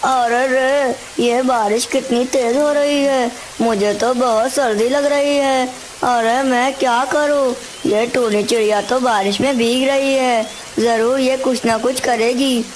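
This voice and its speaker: A woman's voice: high voice, fairly even intonation, slow, slight background noise, poor audio. A woman voicing a needy, envious male crow in a cartoon story, exclamatory and pleading in manner. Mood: shivering, scheming. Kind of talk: Hindi cartoon story dialogue.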